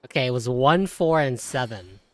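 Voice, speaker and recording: A young man reacts casually to a game as he streams it. His talk is slangy and reactive, at a middling pitch, with faint noise and clicks behind him. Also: lively intonation, normal speed. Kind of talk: live playthrough reaction commentary.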